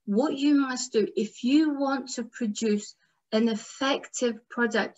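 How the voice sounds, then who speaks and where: A woman whose first language is Turkish, lecturing in English at a workshop, pausing on fillers and asking herself rhetorical questions. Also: on the high side, a bit bright, muffled recording. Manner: measured teaching, frequent fillers and rhetorical questions. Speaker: academic English instructor.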